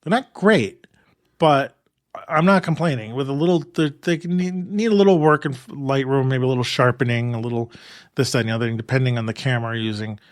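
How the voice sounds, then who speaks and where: A man from New York co-hosting a photography podcast, chatting in a relaxed, rambling way with many restarts and laughs. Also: medium pitch, a little fast, no room sound, studio quality. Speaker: podcast co-host. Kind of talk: casual chat.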